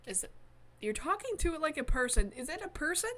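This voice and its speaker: A woman's voice: sits high, very animated, brisk, fairly bright tone. A woman reading a visual novel aloud expressively, adding playful, wry asides in casual commentary as a streamer. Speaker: let's-play streamer.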